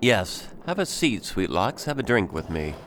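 A brooding pirate captain bent on revenge, played by a man in an audio drama. He is measured and commanding, and his pitch moves widely. Faint noise sits behind the voice. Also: very animated, fairly bright tone. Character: vengeful, brooding pirate captain, hardened by grief.

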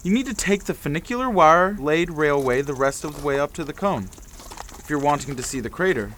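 An actor playing a sly, folksy carriage driver in a radio drama, drawling and haggling. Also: male voice, a little high, expressive, fairly quick, fairly bright tone, slight background noise. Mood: helpful.

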